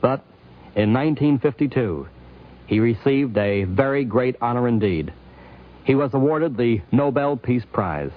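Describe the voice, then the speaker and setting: A man narrating a scripted 1950s radio documentary in deliberate, formal phrases. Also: medium pitch, lively intonation. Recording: dull 1950s radio recording.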